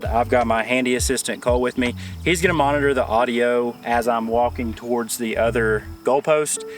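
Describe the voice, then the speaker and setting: A man presents a gear review in a casual, conversational way. His voice sits at a middle pitch and carries some dry humour, with music playing behind it. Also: fairly even intonation, normal speed. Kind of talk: casual review narration to viewers.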